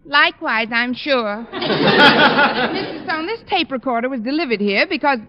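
An actress playing a sardonic, wisecracking high school teacher with a dry manner in an old radio comedy. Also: female voice, expressive, unhurried. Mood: flustered. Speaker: actor in a radio sitcom. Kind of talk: 1940s-50s radio comedy acting. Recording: muffled old radio, loud studio audience.

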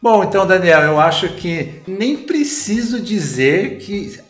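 A man's voice: sits high, animated, measured pace. A man with a Brazilian accent hosting a podcast in casual, conversational Portuguese. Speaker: podcast host. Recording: roomy echo, music behind.

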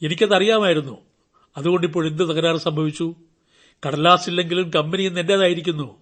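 An actor playing a callous, scheming middle-aged father in a radio drama, commanding and quick to bark. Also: fairly high pitch, fairly even intonation, a bit bright. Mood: self-justifying. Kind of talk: Malayalam radio drama acting.

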